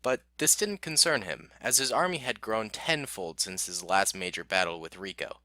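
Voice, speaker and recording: A man reading a scripted war story as narrator, in a steady storybook manner. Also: fairly low pitch, moderate pace, no echo, studio quality.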